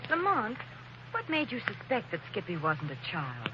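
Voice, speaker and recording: An actress playing a quick-witted female companion in a 1940s radio drama, anxious at times and wry at others. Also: medium pitch, huge pitch swings, sharp tone, muffled recording, a little hiss or hum. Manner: anxious then wry and playful.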